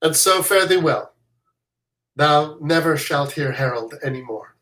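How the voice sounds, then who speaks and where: A single reader voicing a defiant king who speaks lower, firm and measured. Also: fairly bright tone, recorded from a distance, natural voice. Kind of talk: reading a play aloud. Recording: roomy echo.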